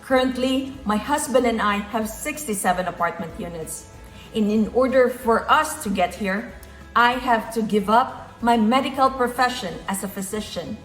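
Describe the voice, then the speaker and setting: A woman's voice: fairly high pitch, expressive, normal speed, reverberant, faint music behind, low-quality recording. A woman from the Philippines with a Filipino accent, giving an earnest motivational talk and repeating key phrases for emphasis. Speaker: motivational speaker.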